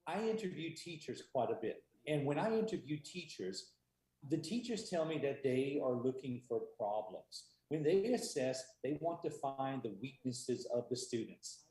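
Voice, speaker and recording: An American man giving a conference talk on teaching, speaking emphatically and stressing key words slowly. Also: medium pitch, animated, warm tone. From United States. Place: remote conference stream. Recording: echoey room.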